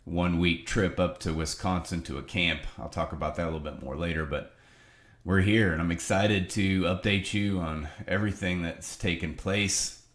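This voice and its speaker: A deep, low-pitched man speaks with earnest, preacherly emphasis. A cold leaves him slightly nasal. The sound rings a little off the walls of his home office. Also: steady tone, moderate pace, a bit bright, reverberant. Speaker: ministry leader giving a video update. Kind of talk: casual spoken update to supporters.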